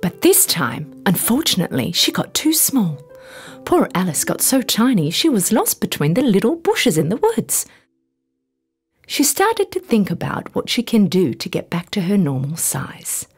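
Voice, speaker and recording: A woman reading a scripted bedtime story for children in an expressive, deliberate storytelling manner. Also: medium pitch, husky. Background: background music.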